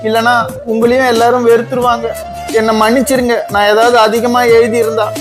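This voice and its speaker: Comedy skit houseboy, played by a man: deferential, put-upon, earnest, loud music underneath. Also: extremely high pitch, level tone, fairly quick. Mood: hurt, earnest. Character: humble, aggrieved male house servant.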